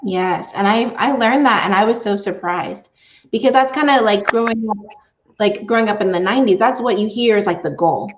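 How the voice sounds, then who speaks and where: A woman's voice: medium pitch, fairly quick, noisy background. Chatty woman on muffled, echoey audio. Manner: chatty, expressive, quotes her own thoughts. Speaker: interview host, coach. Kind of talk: casual chat.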